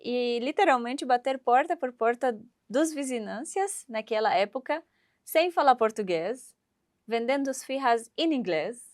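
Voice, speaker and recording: A woman's voice: fairly high pitch, unhurried, no room sound, studio quality. Syrian woman telling a story with animation. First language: Arabic. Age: 30s. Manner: animated, acts out quoted voices, laughs while telling. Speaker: guest. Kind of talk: storytelling interview.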